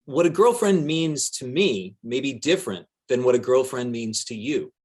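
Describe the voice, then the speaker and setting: An evasive male politician under questioning, acted out by a man in a dramatic reading. He is deliberate and pedantic, splitting hairs over every word. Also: medium pitch, expressive, a little mellow, voice pushed up, natural voice. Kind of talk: dramatic reading of a deposition transcript.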